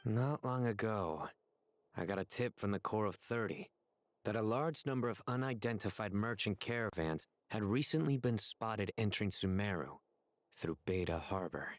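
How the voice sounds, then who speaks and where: A decisive, stern investigator from a game story. He speaks in a fairly low, measured and serious voice, with slight background noise. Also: expressive. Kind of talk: video game voice acting, dramatic dialogue.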